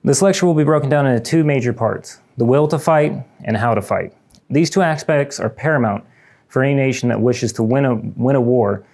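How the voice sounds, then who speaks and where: A man's voice: mid-range voice, a little fast, darkish tone. Young guy from ukraine giving a talk, earnest, reads off his notes, trips a bit. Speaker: student lecturer. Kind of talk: prepared lecture. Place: lecture room.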